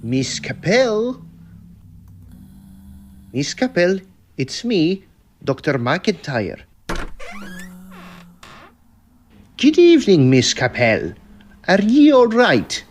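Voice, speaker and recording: Actor as insistent, condescending madhouse doctor for old-time radio play, raised male voice, theatrical and animated. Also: sits high, slow, pauses often, slight background noise, exaggerated voice. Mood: soothing. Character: sinister asylum doctor, patronising, falsely soothing, insistent man. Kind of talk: old-style radio melodrama acting.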